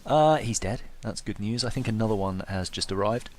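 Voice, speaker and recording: A man's voice: lowish voice, moderate pace, darkish tone, a little hiss or hum. A man giving casual gameplay commentary as a video host, wry, understated and self-deprecating.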